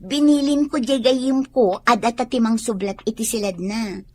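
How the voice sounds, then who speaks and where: A woman's voice: a little high, moderate pace, no echo, natural voice. A woman with an Ilocano accent playing a stubborn, eager young country daughter in a radio drama, whiny and insistent. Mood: hopeful.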